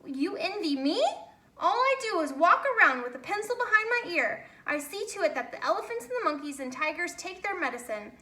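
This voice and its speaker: A woman voicing an excitable, envious, self-doubting male bear in a children's storybook, exclaiming in a fretful, eager way. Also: extremely high pitch, fairly quick, pitched above the performer's own voice, exaggerated voice. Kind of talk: children's storybook character reading. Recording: roomy, echoey home recording.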